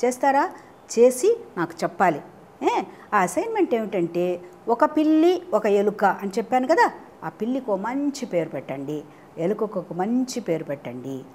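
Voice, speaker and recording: A woman's voice: mid-range voice, big pitch swings, moderate pace, a little mellow. Woman telling children's stories, some background noise. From India. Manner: asks listeners questions, mixes in English phrases. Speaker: children's storyteller. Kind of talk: animated storytelling for children.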